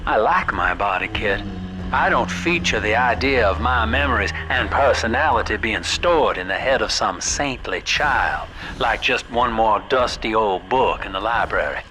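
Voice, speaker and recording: A man's voice: on the low side, expressive, moderate pace, music in the background, close to an everyday voice, low-quality recording. Folksy, sly businessman played by a man. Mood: wry unease. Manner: colloquial, avuncular, slangy. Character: sly, folksy businessman guide, breezy and familiar. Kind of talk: video game character dialogue. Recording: clear noise behind the voice.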